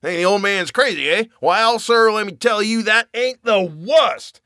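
A man's voice: high-pitched, unhurried, sharp tone, no room sound, clean studio sound, voice pushed up. A man voicing a wild, terrified old man who raves in a rustic old-timer dialect, in a horror story reading. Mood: raving. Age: older. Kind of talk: audiobook character reading.

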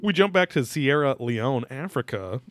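A man's voice: medium pitch, lively intonation, moderate pace, no echo. A man in his thirties hosting a casual recap chat, rambling and swearing freely, sometimes reading quotes aloud theatrically. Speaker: podcast host.

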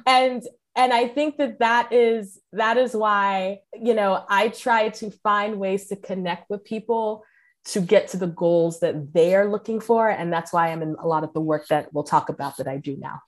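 A woman's voice: medium pitch, moderate pace. Chatty laughing American woman guest. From United States and Cameroon. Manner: chatty, laughs often, self-deprecating. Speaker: podcast guest, career counselor. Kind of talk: casual interview conversation.

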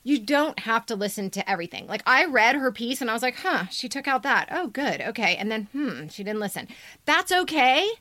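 A woman's voice: a little high, very animated, a little fast, sharp tone, no echo. An American woman with a blunt, bossy, teasing manner, giving off-the-cuff critique as a podcast co-host. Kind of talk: unscripted critique and banter.

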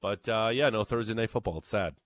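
Deep-voiced laid-back radio host man. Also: a little fast, fairly bright tone, no room sound. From grew up bilingual, English and Serbian. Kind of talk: casual sports radio banter.

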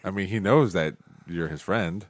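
A middle-aged man hosting a podcast, chatting casually with his young daughter and gently teasing her with coaxing questions. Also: low voice, normal speed, no room sound, clean studio sound. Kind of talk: casual family chat.

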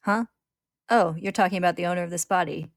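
A woman throws herself into a vicious, greedy spirit from a dramatic story. He swaggers through his lines, loud and cocky, stretching out words with relish. The recording is close and dry. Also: on the low side, quick pace, creaky, no echo. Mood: smug, wicked.

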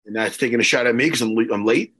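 A man's voice: medium pitch, rapid-fire, husky. A man in his forties co-hosting a radio show, teasing the others in casual banter on a studio microphone. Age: 40s. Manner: teasing, animated ribbing. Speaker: radio co-host.

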